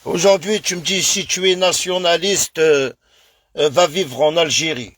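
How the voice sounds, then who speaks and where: A man's voice: a little high, fairly even intonation, unhurried, a bit bright, no room sound. A man from France talking in a casual live stream, speaking emphatically and repetitively, calling his viewers brother.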